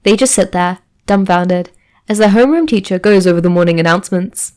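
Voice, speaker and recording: A woman's voice: fairly low pitch, brisk, darkish tone. A woman reading fan fiction aloud as a scripted story narration. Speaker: fan fiction podfic reader.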